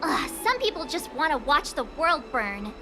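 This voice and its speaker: A woman voice acting a curious, expressive young girl in a video game, exclamatory and inquisitive. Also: sits high, moderate pace. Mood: wry, relieved. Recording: loud music behind.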